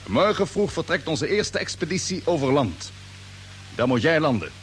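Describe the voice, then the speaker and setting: An authoritative space fleet captain in an old radio play, with a somewhat high, very animated voice. He hands out clipped orders over a faint hum. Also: moderate pace. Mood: commanding, brisk. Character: brisk, confident male space fleet captain. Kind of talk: radio drama acting. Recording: old radio play, humming background noise.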